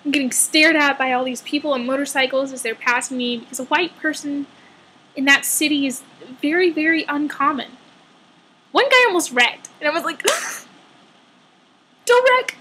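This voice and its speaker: A woman's voice: high voice, normal speed, pauses often, noisy background. A woman telling a personal story casually, dramatically acting out dialogue with a sarcastic edge.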